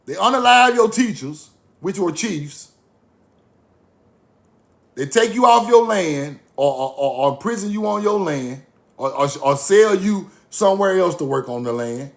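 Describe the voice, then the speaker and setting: Gravelly high-voiced man lecturing bluntly. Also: animated, a little slow. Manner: blunt, repetitive, profane, preachy. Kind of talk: fiery informal lecture.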